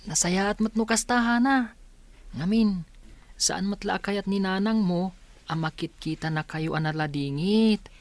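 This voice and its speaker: A man's voice: high voice, measured pace, dry recording. An actor playing a sympathetic teenage boy, a consoling friend, in a radio drama. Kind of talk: Ilocano radio drama acting.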